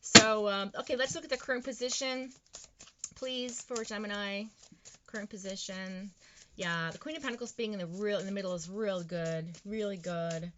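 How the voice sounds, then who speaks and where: A woman's voice: mid-range voice, fairly even intonation, moderate pace, sharp tone, highs cut off, a little hiss or hum. A woman giving a casual tarot reading, rambling and encouraging, with lots of fillers and restarts. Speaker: tarot reader.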